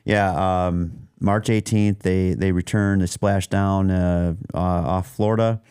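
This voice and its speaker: Low, smooth-voiced man hosting a podcast. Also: steady tone, slow, darkish tone, dry recording, clean studio sound. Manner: rambling, many restarts and fillers. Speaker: podcast host. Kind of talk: casual chat. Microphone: studio mic.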